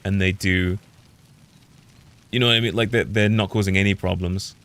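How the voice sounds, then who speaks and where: A man's voice: deep voice, fairly even intonation, moderate pace, a bit bright. A British man streaming a game, rambling opinionated commentary that keeps trailing off and restarting. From United Kingdom. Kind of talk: casual gameplay commentary.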